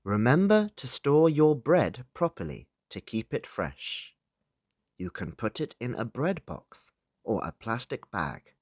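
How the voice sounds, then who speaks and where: A man's voice: on the low side, breathy edge, no echo, studio quality. A British-accented man narrating a scripted beginner listening lesson as a teacher, in simple, separated phrases for learners. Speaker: English teacher.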